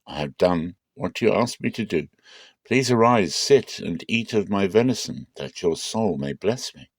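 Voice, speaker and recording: A man's voice: lowish voice, lively intonation, unhurried, no room sound. A man playing a younger son in a scripture reading, dutiful and pleading as he deceives, with an earnest, coaxing manner.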